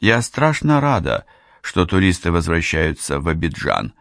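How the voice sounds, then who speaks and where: A man's voice: sits low, wildly swooping pitch, moderate pace, gravelly, a bit bright, no room sound, clean studio sound, exaggerated voice. A man reading an audiobook voices a friendly middle-aged female hairdresser from Ghana, talking in a chatty, confiding way. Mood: hopeful. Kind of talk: audiobook character voice.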